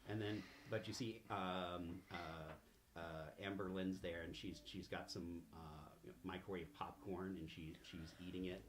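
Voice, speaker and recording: A man improvising narration as game master of a tabletop game, in a halting, drawn-out way with pauses. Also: low-pitched, fairly even intonation. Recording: faint background noise.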